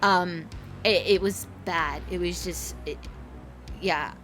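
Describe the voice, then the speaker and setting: A woman's voice: lowish voice, fairly even intonation, takes it slowly, fairly bright tone. A woman co-hosting a casual review, talking informally and candidly as she recalls what happened. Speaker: co-host of a haunted attraction review. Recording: music under the talk.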